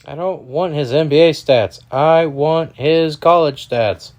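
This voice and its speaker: A man's voice: on the high side, slow. A man, co-hosting a casual sports podcast and exclaiming often. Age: 30s. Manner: excitable, exclaiming. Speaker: podcast co-host.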